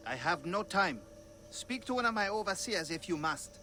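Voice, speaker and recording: An actor playing an anxious, secretive middle-aged brewer in a video game, speaking in a hushed, grave way. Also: male voice, high voice, lively intonation, moderate pace, slight background noise. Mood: urgent.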